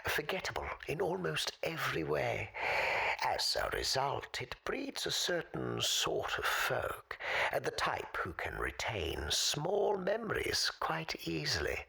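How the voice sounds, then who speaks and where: A woman playing a wistful, erudite male caller in a radio drama, speaking formally and with measured eloquence in a husky, breathy voice. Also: low voice, huge pitch swings, sharp tone, heavily acted, rough recording. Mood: wistful, wry. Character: forgotten, wistful, erudite man calling a radio show. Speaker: recurring caller on a radio show. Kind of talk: audio drama monologue, storytelling.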